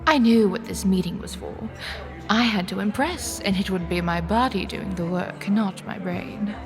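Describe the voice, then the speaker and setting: Anxious, sardonic young heroine played by a woman, mid-pitched, wry and halting first-person drama narration, music underneath. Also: natural voice. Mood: anxious, regretful.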